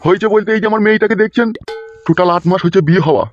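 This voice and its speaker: A man's voice: high voice, very fast, soft background music, natural voice. A man voicing an aggrieved, foul-mouthed father in a comedy cartoon, rattling off indignant complaints. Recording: dull lo-fi sound.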